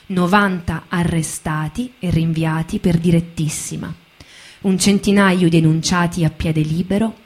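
A woman giving dramatic scripted narration for radio theatre, in measured, short phrases. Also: on the low side, a little mellow, husky. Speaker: stage narrator.

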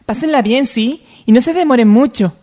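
A woman's voice: sits high, normal speed, warm tone. A woman playing a homely, affectionate mother in a parody radio soap.

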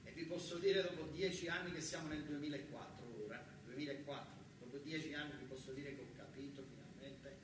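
An actor playing an earnest factory worker and young father, telling his life in a colloquial, halting theatrical monologue with a southern Italian accent. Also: on the high side, very echoey, recorded from a distance, background noise, natural voice. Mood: weary, bitter. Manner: colloquial, halting, repeating words for emphasis.

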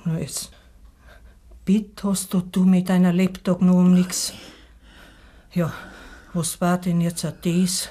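An actress playing a practical, worried, middle-aged farmer's wife with a Bavarian accent in a 1950s dialect radio play. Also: female voice, fairly low pitch, flat delivery, takes it slowly, pauses often, warm tone. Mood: resolute, knowing. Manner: plain-spoken, fretting dialect speech. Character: farmer's wife of many years, anxious, practical, scolding.

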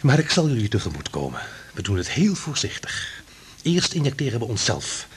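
An actor playing an obsessed, reckless scientist in a radio drama, husky-voiced, grandiose and excitable. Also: male voice, medium pitch, fairly quick, heavily acted. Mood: fanatical, grandiose. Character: obsessed, reckless male scientist drunk on his invention.

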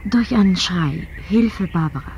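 An actress playing a fragile young woman in a radio play, speaking haltingly and tentatively. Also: fairly low pitch, animated, a little mellow, muffled recording, slight background noise. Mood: uneasy. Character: hesitant, fragile young woman recalling trauma. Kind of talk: German radio play acting.

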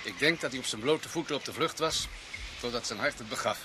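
An actor playing an unhurried, dry doctor in a radio drama, speaking curtly and calmly. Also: male voice, mid-range voice, natural voice. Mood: clinical, grave. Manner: matter-of-fact, curt, unflappable. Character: unhurried, dry male doctor and former forensic pathologist. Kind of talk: Dutch radio drama acting. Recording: clearly noisy radio play soundtrack.

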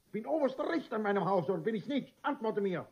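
A man's voice: sits high, lively intonation, fast, a little mellow, slightly rough, exaggerated voice. An actor playing a pompous, gullible middle-aged father in a classic comedy radio play, blustering, imperious and easily flustered. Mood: indignant, flustered. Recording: dull, band-limited old radio recording.

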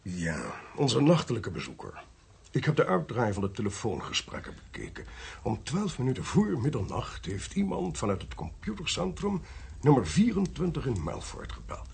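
A man acting a suave, talkative official in a radio drama, politely sly and self-possessed, with a husky, gravelly voice and urbane, theatrical delivery. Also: medium pitch, huge pitch swings, normal speed, a little mellow, full character voice. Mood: expository, intrigued.

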